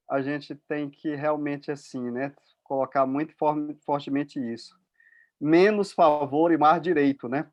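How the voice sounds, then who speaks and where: Measured professor with a dry, close sound. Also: male voice, fairly high pitch, no echo. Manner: measured, hedging, with restarts and fillers. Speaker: sociology professor guest. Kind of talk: academic commentary in a discussion.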